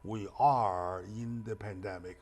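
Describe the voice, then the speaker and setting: A man hosting a talk show interview, speaking with halting, hesitant phrasing. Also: on the low side, steady tone.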